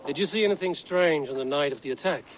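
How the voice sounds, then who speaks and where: A man's voice: a little high, fast talker, a little hiss or hum, lightly acted, poor audio. A man playing a direct, businesslike Viking warrior hero in a video game. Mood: matter-of-fact. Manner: terse, businesslike. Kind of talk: video game dialogue acting.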